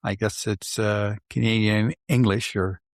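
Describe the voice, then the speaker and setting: A middle-aged man with a slight Dutch accent, hosting a casual podcast chat in a soft, mumbling, soothing voice. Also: on the low side, fairly even intonation, measured pace, no room sound, clean studio sound. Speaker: podcast host.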